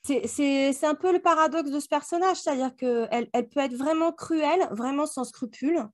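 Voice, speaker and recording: A woman co-hosting a book club podcast, chatting casually and colloquially in a playful, joking way. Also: high voice. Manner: playful, colloquial, quick to joke. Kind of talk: casual discussion of an audio drama.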